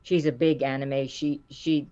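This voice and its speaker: A middle-aged woman with a low voice, a voice actor chatting in an interview and acting out the quotes in her stories. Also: unhurried, highs cut off. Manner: animated storyteller, acts out quotes with rising pitch. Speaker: voice actor guest. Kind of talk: casual interview chat.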